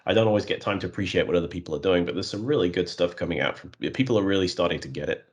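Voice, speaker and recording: A man with a very deep voice who talks in an even, flat delivery, circling back through fillers and self-corrections. He sounds like a guest in a relaxed interview. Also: racing. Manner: self-described flat, monotonous voice; many fillers and restarts. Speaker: guest, custom visual developer. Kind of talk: conversational interview.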